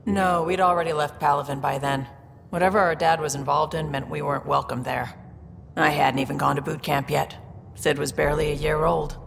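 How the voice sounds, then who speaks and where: Low, gravelly, tough alien smuggler. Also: female voice, steady tone, brisk, long reverb tail, slight background noise. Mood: guarded, matter-of-fact. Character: tough, guarded female alien smuggler, pragmatic older sister. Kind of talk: video game character acting.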